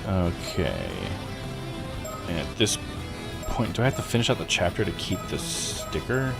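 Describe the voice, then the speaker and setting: Low male voice, lively pitch swings, casual game streamer, rambling to himself, game music behind. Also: a little slow, creaky. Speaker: live streamer playing a visual novel. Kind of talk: casual game commentary, thinking aloud. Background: video game music.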